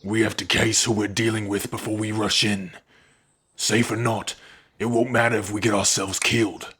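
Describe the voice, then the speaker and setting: A man's voice: lowish voice, little pitch movement, normal speed, a bit bright, slightly rough. A man playing a level-headed, wry comrade of an orc warrior in an ASMR fantasy roleplay, cautioning in a folksy way. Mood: wry, cautious.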